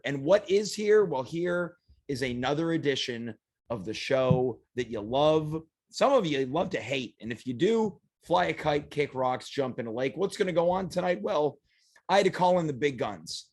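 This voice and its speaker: A man with a hoarse, worn voice hosting a comedic home show, talking in a theatrical, playfully boastful way. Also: fairly high pitch. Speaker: host. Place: home studio.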